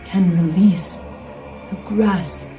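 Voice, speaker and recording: An actress playing a stern, commanding goddess in a video game, speaking in a measured, solemn and imperious way with a reverberant echo. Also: female voice, mid-range voice, fairly even intonation. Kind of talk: dramatic video game acting. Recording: echoey, distant voice under music.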